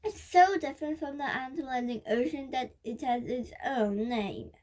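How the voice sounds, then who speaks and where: A young girl reading a nonfiction book aloud in a careful, slightly halting way. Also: sits high, darkish tone. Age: child. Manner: careful, halting read-aloud with occasional stumbles. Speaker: reader of a children's nonfiction book.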